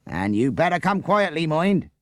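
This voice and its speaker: A man's voice: a little high, sing-song, normal speed, a bit bright, dry recording, clean studio sound, pitched above the performer's own voice. A man doing a jeering, suspicious village policeman. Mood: sarcastic, suspicious. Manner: sarcastic, jeering, tacks on "eh?".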